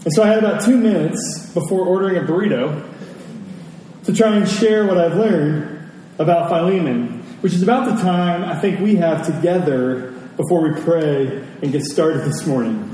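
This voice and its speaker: A man preaching a storytelling sermon to a congregation, deliberate, with dramatic pauses and emphasis. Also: a little high, mellow. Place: large indoor church space. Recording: very echoey hall, distant mic, faint noise.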